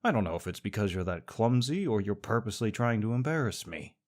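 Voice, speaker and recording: A man's voice: on the low side, sing-song, quick pace, a little mellow. A young man performing a scripted audio roleplay as a sarcastic, teasing rival co-worker. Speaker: voice actor playing a smug rival co-worker.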